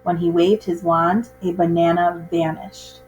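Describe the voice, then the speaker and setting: A woman reading a picture book aloud to children in an expressive, measured storytelling style. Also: fairly low pitch, dull audio, soft background music.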